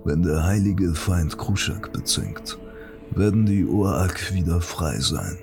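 A man voicing an orc shaman who gravely recites a dark, ancient prophecy in a measured, portentous audiobook style. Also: low-pitched, over music, heavily acted. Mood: solemn. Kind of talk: solemn scripted fantasy audiobook recitation.

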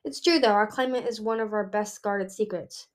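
A woman's voice: mid-range voice, normal speed, close to an everyday voice. A woman voicing an earnest, dutiful young male dragon prince in a storybook, formal and a little awkward as he speaks. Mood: explaining.

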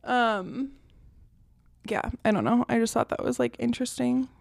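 A woman's voice: a little high, normal speed, creaky, no room sound. Casual woman podcaster, dry close sound. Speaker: podcast co-host.

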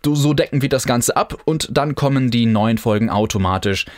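A man's voice: medium pitch, normal speed, no room sound, studio quality. A young man from northern Germany hosting his own podcast, talking loosely with lots of fillers and restarts. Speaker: podcast host. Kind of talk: casual podcast monologue.

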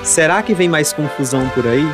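A male radio soap narrator with a Northeastern Brazilian accent. His fairly high voice delivers a teasing, scripted narration over prominent instrumental music. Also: lively intonation, a little fast.

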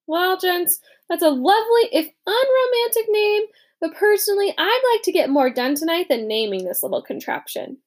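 A woman's voice: extremely high pitch, very animated, normal speed, pitched above the performer's own voice. A woman voicing a strong, practical young man with a dry, wry way of talking in an audiobook.

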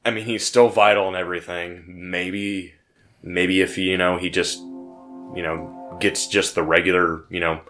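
A man's voice: deep voice, flat delivery, a little slow, a bit bright, faint music behind. A man playing a tabletop game podcast, rambling casually with lots of fillers. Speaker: player.

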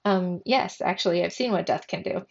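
A self-conscious storybook schoolboy read by a woman, wry and slightly awkward. The voice has a creaky edge and a slightly dull recording. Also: fairly low pitch, fairly quick. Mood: uneasy.

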